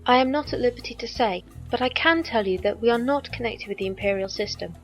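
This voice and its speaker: High-voiced, formal, composed female squire, played by an actress. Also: quick pace, faint music behind, natural voice. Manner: formal, precise, stilted wording. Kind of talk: audio drama acting.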